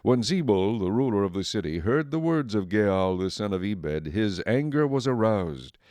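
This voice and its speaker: A man reads as narrator of a dramatized Bible production. His voice is on the low side, and the sound is dry and close, with little room to it. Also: lively intonation, normal speed, a little mellow, no echo.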